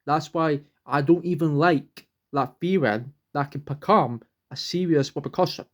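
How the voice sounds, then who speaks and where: A man's voice: a little high, a little slow. A young man, vlogging at home, rambling earnestly and restarting sentences as he searches for words. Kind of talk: rambling personal vlog.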